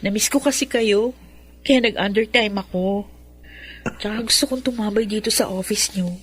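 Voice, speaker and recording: A woman's voice: medium pitch, normal speed, slightly rough, natural voice. An actress playing a sassy, attention-hungry young woman in a Filipino radio drama, teasing and pert. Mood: clingy, playful. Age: young adult.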